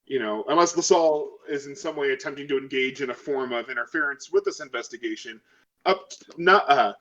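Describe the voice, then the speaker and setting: A man's voice: mid-range voice, brisk, bright, forceful tone, distant mic, close to an everyday voice. Man playing a coolly intimidating female lawyer, muffled. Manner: formal legalese, pointed.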